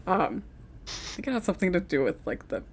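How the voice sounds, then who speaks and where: A young woman hosting a casual panel chat, chatty and quick to laugh, sometimes losing her train of thought. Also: on the low side, very animated, husky. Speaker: panel host.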